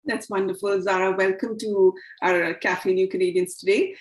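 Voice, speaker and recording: A woman's voice: medium pitch, fairly even intonation, moderate pace, roomy sound. A woman hosting a virtual panel over a video call, hesitant with many fillers. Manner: hesitant with frequent uh fillers, welcoming. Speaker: host. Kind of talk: scripted-feeling virtual panel intro. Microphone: video call mic.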